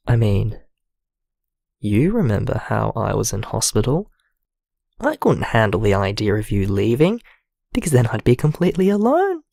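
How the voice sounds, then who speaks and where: Lonely, apologetic man played by a male actor for audio drama; hesitant confessional delivery, slow and dry, close-sounding. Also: medium pitch, creaky, a little mellow, dry recording, exaggerated voice. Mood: apologetic, grateful. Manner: hesitant, halting, confiding. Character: vulnerable, lonely man named Ricardo, apologetic and grateful. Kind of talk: naturalistic audio drama voicemail monologue.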